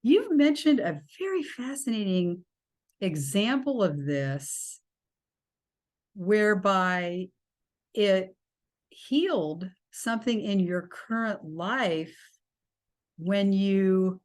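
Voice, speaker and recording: A woman hosting a talk show, gently drawing out her guest and laughing along. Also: medium pitch, expressive, slow, pauses often. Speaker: host.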